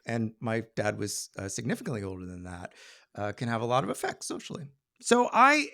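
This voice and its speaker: A man telling a personal story live on stage in a conversational, halting, self-deprecating way. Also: mid-range voice, gravelly, fairly bright tone.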